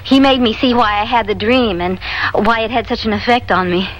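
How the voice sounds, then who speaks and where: Woman acting an earnest, high-voiced troubled wife. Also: fairly even intonation, brisk, highs cut off, natural voice. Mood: relieved. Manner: earnest, emotional, hesitant phrasing. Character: anxious married woman in therapy, earnest and vulnerable. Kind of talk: vintage radio drama acting. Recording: hissy vintage radio broadcast recording.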